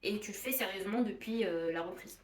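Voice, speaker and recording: A woman's voice: mid-range voice, a little fast, far from the mic. A young woman co-hosting a vlog, chatting casually and teasing her partner. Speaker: vlogger co-host. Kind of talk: casual couple chat. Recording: roomy, a bit off-mic.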